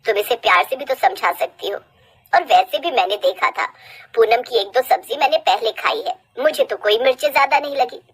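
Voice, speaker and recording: A woman voicing a stern, fair-minded older mother-in-law with a measured, admonishing, authoritative way of talking in a cartoon family story. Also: fairly high pitch, slightly rough. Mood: reproachful.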